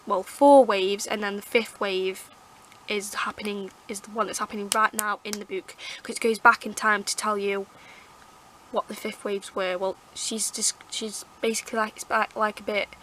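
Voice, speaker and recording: Croaky, chatty young woman. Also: medium pitch, little pitch movement, moderate pace, a bit bright, slight background noise, low-quality recording. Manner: rambling, chatty, lots of fillers and restarts. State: hoarse, croaky throat. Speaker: book vlogger. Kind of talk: casual book review chat. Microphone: camera mic.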